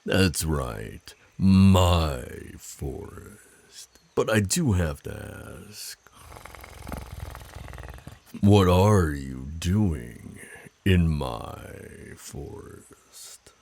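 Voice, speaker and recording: Man acting a very deep, gravelly, slow-drawling werewolf. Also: expressive, darkish tone, a little hiss or hum, full character voice. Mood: teasing, menacing. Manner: slow, drawling, taunting and possessive. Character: burly territorial male werewolf, menacing and teasing. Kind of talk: ASMR roleplay acting.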